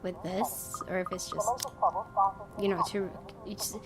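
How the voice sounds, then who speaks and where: A woman's voice: mid-range voice, level tone, slow pace. A young adult woman giving casual, tentative let's play commentary, thinking aloud as she goes. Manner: musing, tentative. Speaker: gaming video host. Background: game music.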